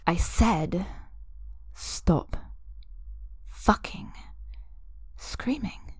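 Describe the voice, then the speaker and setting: A woman voicing an obsessive young woman who holds her lover captive, sweet on the surface and cruel beneath, talking in breathy whispers and giggles. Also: mid-range voice, expressive, extremely slow, dry recording, clean studio sound, heavily acted. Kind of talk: audio roleplay drama.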